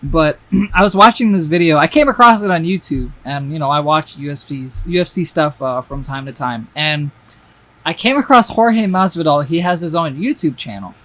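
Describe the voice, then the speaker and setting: Lively young man, laughing, swearing. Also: on the high side, moderate pace. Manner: laughs often, swears freely, jokey exaggeration. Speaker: podcast co-host. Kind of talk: casual chat.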